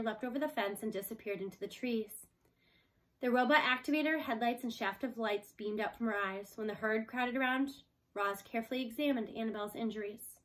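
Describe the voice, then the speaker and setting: A woman reading a children's book aloud as an expressive scripted narration. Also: a little high, rough recording. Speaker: storybook reader.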